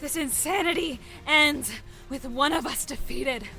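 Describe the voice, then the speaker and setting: A woman playing a defiant, desperate giant with fire powers in a forceful, dramatic audio drama style. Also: sits high, measured pace, soft background music, exaggerated voice. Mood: defiant rage.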